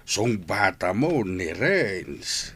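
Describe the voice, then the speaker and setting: An actor playing an amused, teasing man with a gravelly voice in an Ilocano radio drama. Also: sits low, sing-song, extremely slow, a bit bright, full character voice.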